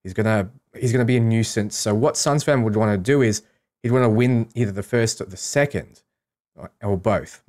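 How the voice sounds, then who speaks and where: A man's voice: lowish voice, brisk, darkish tone. A man giving casual, explanatory commentary on a game, talking through his strategy as a streamer.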